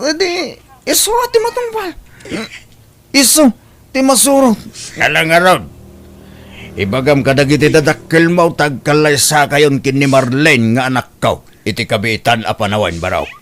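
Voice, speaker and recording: A man's voice: a little high, expressive, normal speed, a bit bright, slight background noise. A man playing a stern, worried older village father in an audio drama. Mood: stern, alarmed.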